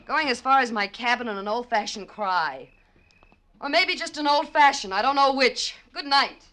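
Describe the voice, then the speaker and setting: A woman playing a bitter, sardonic neglected wife, with sharp wisecracks in theatrical 1940s radio drama style. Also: fairly high pitch, animated, normal speed.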